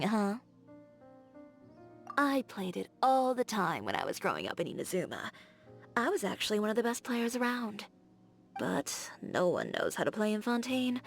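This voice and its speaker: A woman voicing a confident, blunt young fashion designer in a video game, direct and playfully teasing. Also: medium pitch. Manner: direct, self-assured, teasing. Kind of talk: scripted video game character dialogue. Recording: background music.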